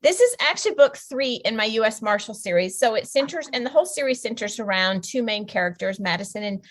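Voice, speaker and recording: A woman's voice: fairly high pitch, animated, quick pace. An American woman from the Pacific Northwest, chatting casually as a novelist guest in an interview.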